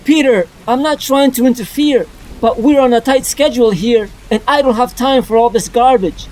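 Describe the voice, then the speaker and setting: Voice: mid-range voice, normal speed, a little mellow, close to an everyday voice, poor audio. A performer voicing a blunt, candid young woman who is a reformed cat burglar, in a fan dub of a motion comic. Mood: impatient.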